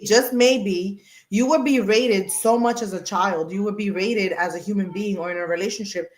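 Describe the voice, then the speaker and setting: A woman hosting a podcast in casual chat, talking in an animated, emphatic way and explaining with everyday examples. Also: mid-range voice, normal speed, reverberant, low-quality recording. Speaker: podcast host.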